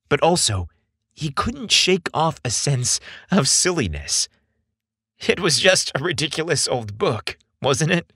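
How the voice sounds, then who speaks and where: A man reads a dramatic story at an unhurried pace. His delivery is theatrical and deliberate, with a lively, swinging intonation. The voice is slightly rough and husky, captured close and dry. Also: mid-range voice, no echo. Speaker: podcast storyteller. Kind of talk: scripted narration, dramatic storytelling.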